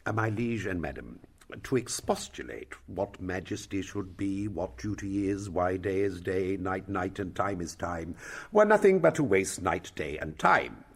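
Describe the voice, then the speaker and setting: A fussy, self-important old courtier played by a man in a Shakespearean play. His husky, lowish voice swings widely as he pompously spins out his phrases. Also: animated, measured pace, heavily acted. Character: self-important, long-winded old royal counsellor. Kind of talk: Shakespearean stage-style acting.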